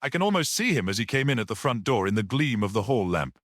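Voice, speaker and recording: A man's voice: medium pitch, big pitch swings, quick pace, a bit bright, no echo, studio quality. A man voicing an earnest priest-detective in an audiobook, explaining things with rhetorical passion. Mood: reflective, assured. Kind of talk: dramatic audiobook character reading.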